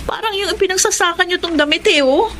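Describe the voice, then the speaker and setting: A woman playing an impatient, embarrassed young student who is sharp with her father, in Filipino radio drama. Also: very high-pitched, fast talker. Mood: irritated, embarrassed. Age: young adult. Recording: light background ambience noise.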